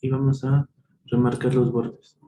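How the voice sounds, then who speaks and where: Dark-voiced man tutoring math, echoey room. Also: mid-range voice, little pitch movement. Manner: thinks aloud, self-correcting, slow and patient. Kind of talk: live online tutoring, working problems step by step. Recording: echoey room, faint noise.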